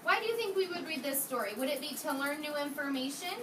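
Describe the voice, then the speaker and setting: A woman's voice: high-pitched, animated, fast, recorded from a distance. A woman teaching kindergarten through an interactive read-aloud, asking questions and repeating answers back in a roomy, noisy classroom. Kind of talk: interactive read-aloud lesson for young children. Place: indoor classroom with young children.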